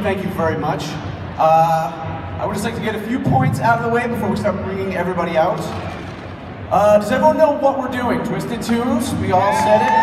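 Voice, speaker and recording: A man's voice: high-pitched, distant mic, background noise. A man hosting a live stage event at a fan convention, introducing guests to the audience in an announcer's manner. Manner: announces names loudly to the crowd. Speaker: event host introducing voice actors. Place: large convention hall stage. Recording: echoey hall from afar, crowd, music.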